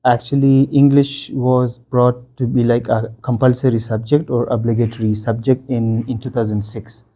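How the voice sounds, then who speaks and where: A man from Afghanistan whose native tongue is Pashto, talking in an interview. His voice is very dark and mellow at a middling pitch, and he pauses often with fillers and restarts as he recalls things. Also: little pitch movement. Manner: hesitant, many fillers and restarts. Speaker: interview guest. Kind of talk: casual interview answers.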